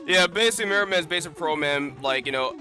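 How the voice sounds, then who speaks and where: A man's voice: on the high side, quick pace, bright, forceful tone. A man doing casual live-stream commentary over gameplay, rambling with lots of fillers and restarts. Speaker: gaming streamer.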